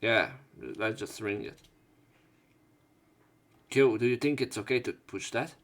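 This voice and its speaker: A man with a gravelly, fry-laden voice reads game text aloud, then breaks off into joking asides. His pitch sits in the middle, and he talks in a loose, casual way with fillers. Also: a little slow, fairly bright tone. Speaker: let's play host.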